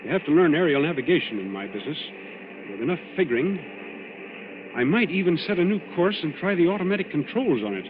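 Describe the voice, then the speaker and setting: A man's voice: fairly high pitch, expressive, fast, close to an everyday voice. An actor playing an earnest, alert young man in a 1940s radio thriller. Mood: grave, pressing. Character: earnest, alert young male airline passenger and navigator. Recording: muffled, noisy old radio recording.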